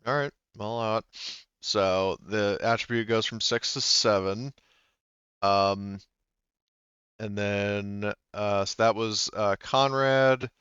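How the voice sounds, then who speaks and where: A man's voice: on the low side, steady tone, slow, a bit bright, clear, resonant tone, no echo, muffled recording. A man running a tabletop roleplaying game as game master, talking in a rambling way with many fillers and restarts.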